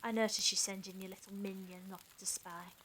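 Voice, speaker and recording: A woman plays a calm-minded doubter among stranded captives. She speaks at a medium pitch with a measured, questioning manner. The fan audio drama carries faint noise. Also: natural voice. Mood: sceptical.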